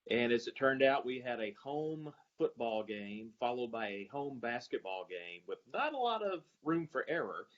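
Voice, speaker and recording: A man, a sports announcer answering interview questions in a halting way, searching for his words. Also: medium pitch, very animated, dull audio. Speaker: guest, arena public address announcer.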